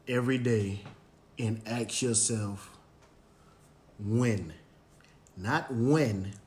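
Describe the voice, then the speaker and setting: A man hosting a motivational Facebook Live show, hoarse and raspy from cheering, greeting viewers by name, with plenty of "um" and "you know". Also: mid-range voice. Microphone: live stream, phone or webcam.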